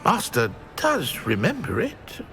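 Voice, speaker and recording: Creaky, high-pitched timid house-elf. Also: male voice, wildly swooping pitch, takes it slowly, over music, full character voice. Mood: nervous, apologetic. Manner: servile, stammering, speaks of himself in third person. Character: timid, grovelling male house-elf servant. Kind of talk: video game character acting.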